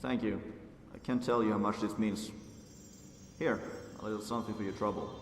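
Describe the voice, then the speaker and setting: A man's voice: lowish voice, fairly even intonation, normal speed, a little hiss or hum, lightly acted. A man playing a wry, friendly vampire adventuring companion in a fantasy game, chatty and conversational. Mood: grateful, relaxed. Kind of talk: fantasy game character acting. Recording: echoey, distant, music behind voice.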